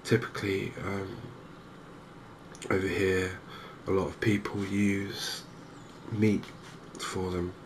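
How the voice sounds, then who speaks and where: An English man hosts a cozy ASMR gaming video in a soft, low voice. He speaks very slowly and evenly, with a creaky edge. Ambient noise is clearly heard behind him. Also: flat delivery. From England. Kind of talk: soft-spoken ASMR game commentary.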